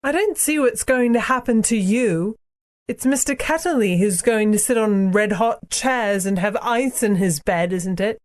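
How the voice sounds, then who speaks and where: A storybook narrator voicing a brisk, indignant young girl with prim, cutting British schoolgirl phrasing. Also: mid-range voice, voice pushed up, natural voice. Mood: softening, brisk. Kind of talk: audiobook character dialogue.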